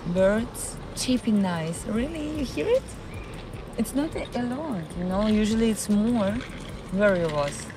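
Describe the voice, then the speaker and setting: A woman with a Ukrainian accent, live-streaming from a city park and chatting with her viewers in a halting way, often searching for words. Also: mid-range voice, animated, noisy background. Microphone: phone.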